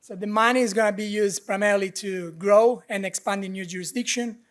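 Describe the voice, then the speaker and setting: A man giving a founder's pitch to investors, slightly halting with fillers and small grammar slips. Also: steady tone, a bit bright.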